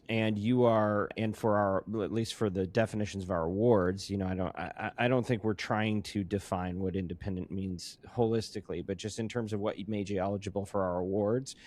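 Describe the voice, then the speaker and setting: A man hosting a conversational industry interview, speaking haltingly and repeating words as he works toward his questions. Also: on the low side, a little mellow. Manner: halting, stammering word repeats while forming questions. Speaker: podcast host.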